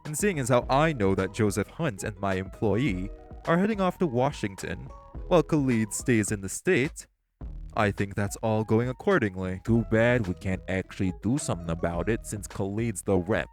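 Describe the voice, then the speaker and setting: A man voicing hostile crime bosses in an audio roleplay drama, profane and confrontational, with soft music behind. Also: on the low side, lively intonation, moderate pace, lightly acted. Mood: sneering. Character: hostile male crime bosses, magic gangsters.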